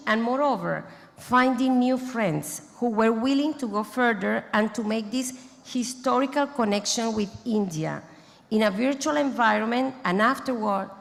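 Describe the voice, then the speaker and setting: Woman, non-native English speaker, slow, halting, formal closing speech, long hall echo. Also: on the high side, gravelly. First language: not English. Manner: halting, restarts mid-phrase, lists thanks and names. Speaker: society president giving closing speech. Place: large conference hall.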